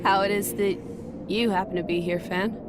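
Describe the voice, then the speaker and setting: A woman plays a young female warrior from a video game, guarded and blunt in manner. Her pitch sits in the middle, and loud music runs heavily over her words. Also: steady tone, normal speed, lightly acted. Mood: pensive, firm. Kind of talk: video game cutscene acting.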